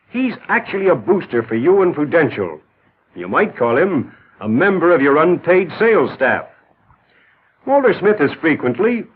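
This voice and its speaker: An encouraging, emphatic mentor narrates a 1940s training film. His voice is fairly high and very animated, on a narrow vintage soundtrack with light music. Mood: reassuring, coaching. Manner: emphatic, instructive, measured phrasing. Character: confident, encouraging mentor voice coaching an insurance salesman.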